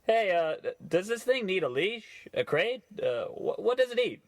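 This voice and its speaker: A man playing a gullible, cheerful and polite gentleman customer in a parody of old radio comedy. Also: sits high, sing-song, unhurried, dry recording, studio quality, full character voice. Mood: naive, pleased. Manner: chirpy, naive, old-timey politeness.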